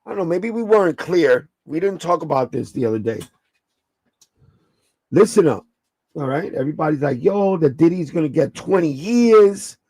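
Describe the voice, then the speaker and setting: A man with a raspy voice, hosting a casual livestream and breaking things down step by step in a streetwise, profane way. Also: a little high, lively intonation, moderate pace, mellow, dry recording, poor audio. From New York. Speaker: livestream host.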